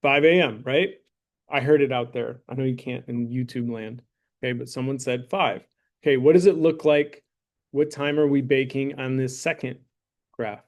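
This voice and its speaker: A man teaching math to a high school class, talking through problems in a casual, joking way and checking in with the students as he goes. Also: moderate pace. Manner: joking, conversational, checks in with "right?" and "okay?". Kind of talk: classroom lesson with a mock sponsor plug.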